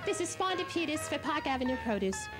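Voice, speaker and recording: A woman playing a chirpy, high-voiced TV street reporter. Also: sing-song, fast, music in the background. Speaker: TV reporter character. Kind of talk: amateur comedy sketch. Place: outdoors in a city, parking lots and murals. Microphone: handheld mic on a distant camcorder. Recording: noisy 1980s camcorder tape.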